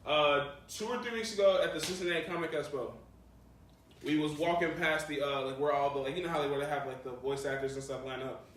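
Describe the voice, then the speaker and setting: Echoey, higher-pitched American man telling stories. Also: fast talker, a bit bright. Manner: slangy, profane, acts out quotes. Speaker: podcast co-host. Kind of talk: casual animated storytelling among friends. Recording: very echoey room, distant mic, faint noise.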